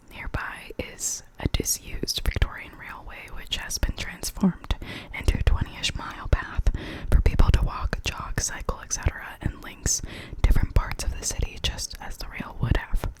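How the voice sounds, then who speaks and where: An ASMR storyteller reading spooky local legends in a soft, unvoiced whisper, now and then stumbling and correcting themself. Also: rough recording. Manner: soft unvoiced whisper throughout, with small slips and self-corrections.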